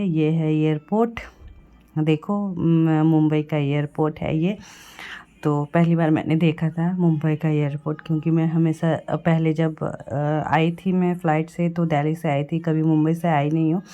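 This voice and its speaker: Young woman, low mellow voice, sore throat, chatty hesitant voice-over, dull lo-fi audio. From Bihar. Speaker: vlogger. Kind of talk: voice-over narrating a travel vlog.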